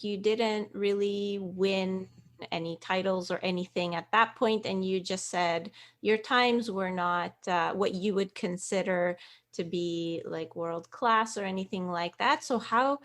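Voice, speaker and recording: Conversational woman host asking questions. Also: medium pitch. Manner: conversational, searching for words with "uh" and "like". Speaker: podcast host. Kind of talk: interview questions.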